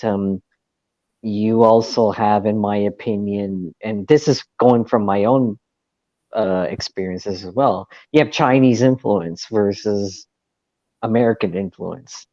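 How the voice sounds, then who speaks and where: A man's voice: lowish voice, dark tone, no room sound, dull audio. A man chatting as a guest, hesitant and often qualifying his points. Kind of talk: casual chat.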